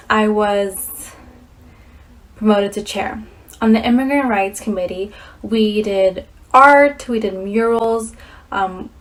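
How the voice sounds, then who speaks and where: A woman's voice: medium pitch, low-quality recording. A teenage girl from California, speaking earnestly in a recorded video speech and restarting words now and then. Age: teens. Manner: earnest, slightly halting with restarts. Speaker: scholarship winner.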